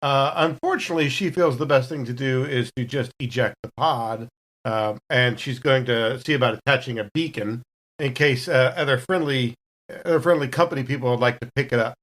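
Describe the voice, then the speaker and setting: A woman's voice: a bass voice, fairly quick. A woman playing a tabletop game, casually and wryly thinking through what her character does.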